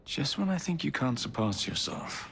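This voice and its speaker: A cocky young English rogue in a video game. His mid-pitched voice is very expressive and sardonic, with clear noise in the background. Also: moderate pace. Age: young adult. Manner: cheeky, sardonic. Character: cocky, flippant young Victorian assassin rogue.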